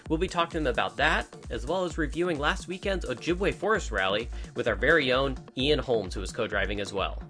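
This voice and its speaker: Man, podcast host, bright voice, upbeat, chatty. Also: mid-range voice, lively intonation, brisk, few pauses, faint music behind. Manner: upbeat, chatty, laughs easily. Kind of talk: casual podcast chat.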